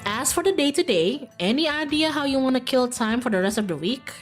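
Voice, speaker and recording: A woman's voice: high voice, lively intonation, brisk, pitched above the performer's own voice. A woman voicing a friendly, easygoing young small-town woman in a visual novel, chatty and upbeat. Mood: easygoing, candid. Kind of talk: visual novel character reading. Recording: music in the background.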